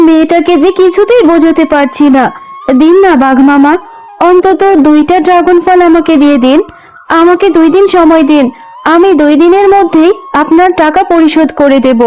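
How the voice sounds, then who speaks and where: A woman plays a poor, fretful animal mother from a cartoon. Her voice is high, chiding and imploring, with faint music behind it. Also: normal speed, darkish tone. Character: poor, scolding but soft-hearted young cartoon animal mother. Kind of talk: children's cartoon dub in Bengali.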